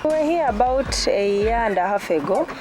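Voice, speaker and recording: A woman's voice: a little high, animated, moderate pace. A woman giving an informal interview outdoors as a visitor. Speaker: zoo visitor. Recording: clear outdoor background noise.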